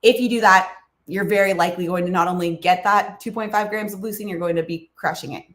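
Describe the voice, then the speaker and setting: A woman's voice: mid-range voice, fast talker, a bit bright, echoey room. A woman hosting a livestream Q&A about nutrition, explaining casually with fillers and small laughs while her voice is a bit froggy. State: froggy voice, clearing throat and coughing. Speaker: nutrition channel host answering livestream questions.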